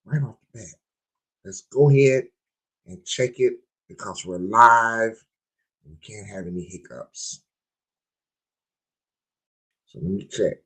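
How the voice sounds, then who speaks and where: A man hosting a live-streamed podcast, his voice hoarse and raspy, opening with loud hype before settling into easygoing, rambling chat. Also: on the low side, slow, pauses often, rough recording. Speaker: podcast host.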